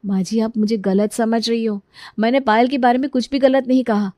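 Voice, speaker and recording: A woman's voice: fairly high pitch, steady tone, moderate pace, warm tone, dry recording, clean studio sound, pitched below the performer's own voice, natural voice. A woman voicing a worried, respectful young daughter-in-law who reasons politely and defends herself in a family drama. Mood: earnest, defensive.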